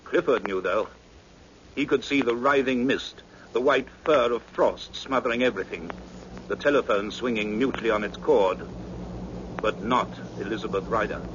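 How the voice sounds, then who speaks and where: An actor playing a grave, fatherly doctor with intense, measured storytelling in a 1960s radio drama. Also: medium pitch, lightly acted. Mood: grave, suspenseful. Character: grave, fatherly doctor of science narrating events. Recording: dull, noisy old radio recording.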